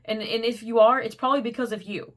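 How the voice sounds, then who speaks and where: A woman's voice: fairly even intonation, fast talker. A woman giving a casual, rambling tarot reading, laughing often and losing her voice to a cold. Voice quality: getting squeaky. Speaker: tarot reader.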